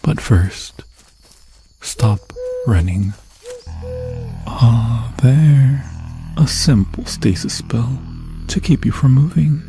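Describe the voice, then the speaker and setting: A man voicing an obsessive, lovesick forest demon in an ASMR fantasy roleplay, breathy and slipping into whispers, with a theatrical, sweetly taunting manner. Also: mid-range voice, lively intonation, very slow, long pauses. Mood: adoring, possessive.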